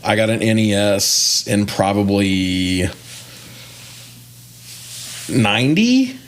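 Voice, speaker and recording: A man's voice: on the low side, sing-song, slow pace. A man in his forties co-hosting a casual movie podcast, chatting in a slangy, joking, mildly profane way. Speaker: podcast co-host.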